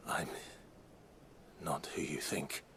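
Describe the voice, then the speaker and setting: An actor playing a grave but kindly young nobleman warrior in a video game cutscene, speaking in a solemn, measured way. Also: rumbling low, fairly even intonation, a bit bright, a little hiss or hum, heavily acted. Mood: gentle, solemn.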